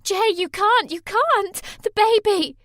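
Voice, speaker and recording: A woman's voice: unhurried, no echo, clean studio sound. A woman doing a tearful, pleading young woman. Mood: desperate. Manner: high, tearful, desperate pleading.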